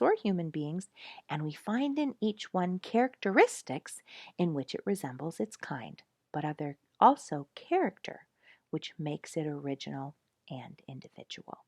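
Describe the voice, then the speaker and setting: A woman narrating a nature story from a script in a measured, emphatic storytelling manner. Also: sits low, animated, darkish tone, dry recording, studio quality. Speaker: audiobook narrator reading a nature memoir.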